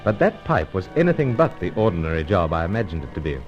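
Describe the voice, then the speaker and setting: An actor playing a suave British secret agent in an old radio serial, with clipped gentlemanly banter and first-person narration. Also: male voice, low-pitched, big pitch swings, fast, faint music behind. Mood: wry, suspicious. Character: suave pipe-smoking British secret agent narrating his own adventure. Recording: muffled noisy old radio recording.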